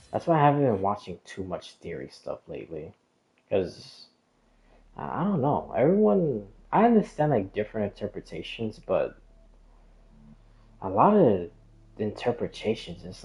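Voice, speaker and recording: A man's voice: deep voice, vocal fry, warm tone, poor audio. A man chatting casually as a game streamer, rambling and hesitant, often trailing off and drifting onto tangents. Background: background music.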